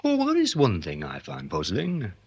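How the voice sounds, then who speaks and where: A deferential English police sergeant with a deadpan wit. His pitch moves around a lot as he explains things pedantically, in British radio-play style. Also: moderate pace, breathy edge, dull audio, full character voice. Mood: deadpan, probing. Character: polite, dry-witted police sergeant, quietly exasperated. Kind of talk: British radio comedy-mystery drama acting.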